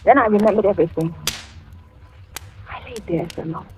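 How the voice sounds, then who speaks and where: A woman's voice: mid-range voice, a little fast, darkish tone, close to an everyday voice. An actress playing a frightened old woman in a 1940s radio thriller, stammering and breathless as she pleads and narrates. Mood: tense narrating. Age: older. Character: terrified, pleading old woman held captive at home. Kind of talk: 1940s radio thriller acting, dialogue and first-person narration. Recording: noisy vintage radio recording.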